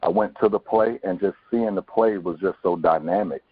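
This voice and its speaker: Deep, husky man on a phone-like line. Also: moderate pace, no echo. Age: middle-aged. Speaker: stage actor and podcast guest. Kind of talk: personal interview answer. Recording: muffled phone-like call.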